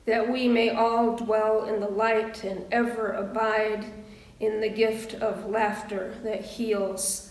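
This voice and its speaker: A woman minister giving a sermon and prayer in measured, deliberate short phrases with pauses. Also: medium pitch, little pitch movement, darkish tone. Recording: roomy echo, distant microphone.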